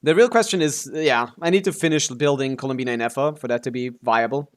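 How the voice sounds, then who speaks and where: A man's voice: medium pitch, fast talker. A man giving casual live game stream commentary, thinking aloud as he talks through his options.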